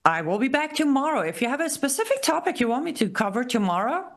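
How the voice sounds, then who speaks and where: A woman with an Iranian accent hosting a political commentary show, speaking emphatically and repeating key phrases slowly. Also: sits high, very animated. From Iran. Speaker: show host.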